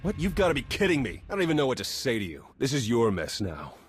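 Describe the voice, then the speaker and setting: A man playing a protective, guilt-ridden, indignant agent in a video game, with a rough voice and plain-spoken sarcasm. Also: medium pitch, huge pitch swings, fast talker. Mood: bitter. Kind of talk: video game cutscene acting.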